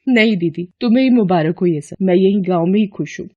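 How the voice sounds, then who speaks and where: A woman's voice: medium pitch, fairly quick, slightly rough, muffled recording, lightly acted. A woman voicing an earnest, devoted and principled younger sister in a Hindi cartoon story, with a pleading manner. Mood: worried, reproachful.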